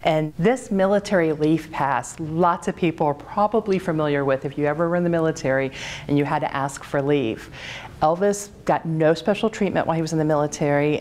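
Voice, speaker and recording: A woman in her thirties presenting items for an auction in a measured, informative way, with occasional fillers. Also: low-pitched, a little hiss or hum. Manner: steady, measured, lightly hesitant with fillers. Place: conference room.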